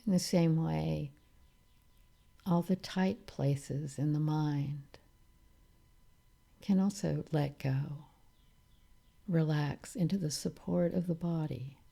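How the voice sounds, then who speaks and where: A woman teaches meditation in a low, very mellow voice with a creaky edge. She guides calmly and soothingly, leaving long pauses between short phrases. Her delivery stays unhurried and relaxed.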